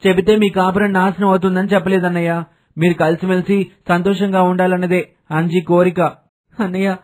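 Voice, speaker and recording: A man voicing an earnest, pleading younger village man in an animated moral story, respectful and insistent. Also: high-pitched, close to an everyday voice.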